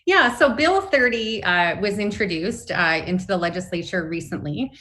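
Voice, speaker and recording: A woman's voice: medium pitch, expressive, normal speed, fairly bright tone. Canadian woman explaining with earnest emphasis. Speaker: nurses' union representative, interview guest. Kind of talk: spoken interview, advocacy.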